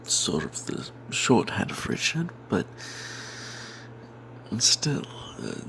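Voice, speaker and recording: Guy doing whispery asmr fantasy storytelling, breathy n creaky, really slow, secretive, kinda wry and rambly. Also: low-pitched, huge pitch swings, a little hiss or hum, poor audio. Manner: hushed and secretive, as if not to be overheard. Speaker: storyteller narrating a tabletop game tale.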